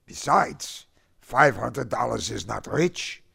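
A man plays an indignant revolutionary uncle who scolds and berates, his pitch slightly raised. The voice has a gravelly rasp and a lively, rising and falling delivery. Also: slow, heavily acted. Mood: scolding.

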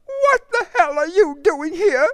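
A woman's voice: very high-pitched, sing-song, unhurried, no echo, studio quality, pitched above the performer's own voice, full character voice. A furious young woman near tears. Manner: crying out angrily.

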